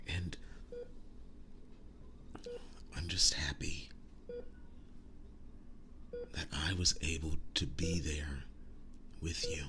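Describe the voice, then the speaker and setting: A man acts out a wounded werewolf boyfriend in an ASMR roleplay, devoted and earnest. His low voice moves slowly and haltingly, often slipping into breathy, tender whispers. There is clear noisy ambience behind him. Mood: tender, resigned. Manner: slow, halting, soft-spoken reassurance. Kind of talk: intimate ASMR roleplay monologue.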